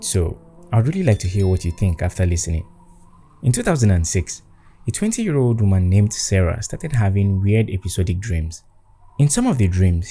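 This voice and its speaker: A man's voice: low-pitched, warm tone. A man narrating a scripted spooky story in a dramatic storytelling manner. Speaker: storyteller, host.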